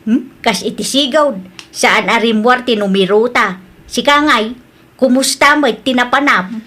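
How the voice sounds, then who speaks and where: A woman's voice: on the high side, measured pace, fairly bright tone. A woman with a northern Philippine accent playing an older, coaxing, scolding wife who gambles hopefully in an audio drama. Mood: worried.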